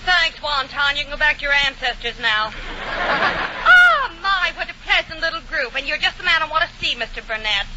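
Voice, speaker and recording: An actress playing a brassy, slangy, scorned secretary with a tough moll's tongue, in 1940s radio comedy style. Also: female voice, high-pitched, lively intonation, fairly quick, a loud crowd. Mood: smug, spiteful. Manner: sharp-tongued, ungrammatical tough talk. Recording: muffled, noisy 1940 radio broadcast.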